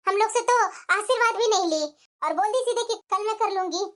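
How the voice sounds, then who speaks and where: A woman's voice: extremely high pitch, a little fast, fairly bright tone. A woman voicing a blunt, easily offended, gossipy neighbour in a family cartoon, with a reproachful, nagging manner. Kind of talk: cartoon family drama dialogue.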